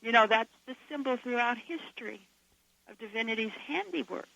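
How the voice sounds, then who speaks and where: A hoarse woman from southern Idaho speaks with fervent, emphatic conviction as an interview guest. Her voice sits a little high and sounds bright and forceful. The audio is dull and lo-fi, with a dry sound. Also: moderate pace. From southern Idaho, USA. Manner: fervent, emphatic, laughs often. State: hoarse from coughing. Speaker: author guest. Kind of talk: radio interview.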